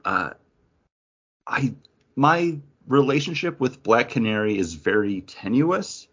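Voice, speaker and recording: A man's voice: medium pitch, big pitch swings, slow, fairly bright tone, slightly rough, dull audio. A man hosting a podcast, giving a casual review in a conversational way with slangy asides. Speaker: podcast host.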